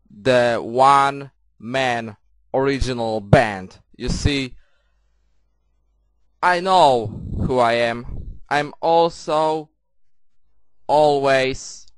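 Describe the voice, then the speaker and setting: A man with a thick Polish accent talks himself up in a slow, deliberate wrestling promo, full of confidence and repeated "you see"s. His voice is clean and smooth, recorded dry and close with no room sound at all. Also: medium pitch, no echo. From Poland.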